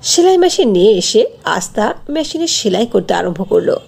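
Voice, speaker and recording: Lively, slightly husky female storyteller. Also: mid-range voice, normal speed. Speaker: narrator. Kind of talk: scripted storytelling narration for cartoon.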